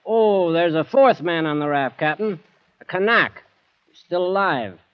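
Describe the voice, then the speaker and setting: Dull vintage radio drama audio of an earnest ship's mate. His voice is fairly high and clear, deferential as he reports to his superior. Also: animated, unhurried. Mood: earnest, dismayed. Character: dutiful, dismayed ship's mate. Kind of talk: golden-age radio drama acting.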